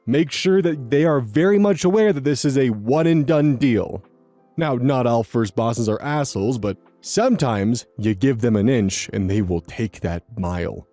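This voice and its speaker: A man's voice: medium pitch, animated, normal speed, mellow, soft background music. A young man reading online posts aloud and commenting on them in a rambling, casual way, repeating words and swearing now and then.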